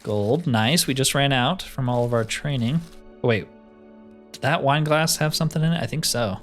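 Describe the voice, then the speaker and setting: A man's voice: mid-range voice, moderate pace. A man giving casual gameplay commentary, thinking aloud with dry, joking asides. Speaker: gamer narrating a roleplay playthrough. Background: game music.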